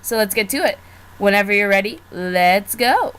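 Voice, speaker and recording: A woman's voice: medium pitch, expressive, moderate pace, fairly bright tone. A woman hosting a live fan reading with casual, playful chat. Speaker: host of a fan reading stream. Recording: noisy amateur online stream.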